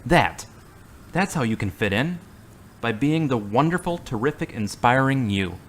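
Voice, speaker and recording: A middle-aged actor playing an earnest, devout man recovering from surgery in a radio drama, speaking in a measured way. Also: mid-range voice, animated, a little hiss or hum, close to an everyday voice.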